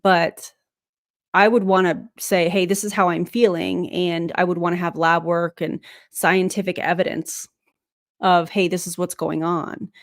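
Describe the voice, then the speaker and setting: A woman with a fairly low voice talks through a livestream commentary. She is blunt and skeptical, with sarcastic asides. The sound is dry and close. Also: flat delivery, unhurried, no echo. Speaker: anti-MLM commentator reacting to a clip.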